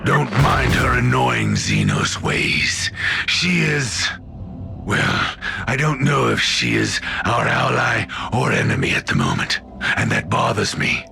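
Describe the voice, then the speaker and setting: Gruff disapproving commander, super gravelly n animated, game dialogue. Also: male voice, medium pitch, slow pace, over music, full character voice. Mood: grudging. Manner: curt, admonishing, dry. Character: stern, gruff, disapproving military ally, dryly sardonic. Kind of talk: video game cutscene acting.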